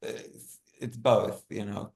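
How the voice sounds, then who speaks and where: A man's voice: fairly low pitch, fairly even intonation, takes it slowly, gravelly, darkish tone. A man speaking as an interview guest, often repeating and rephrasing himself for emphasis. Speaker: guest, writer. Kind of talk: interview conversation. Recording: roomy, echoey sound.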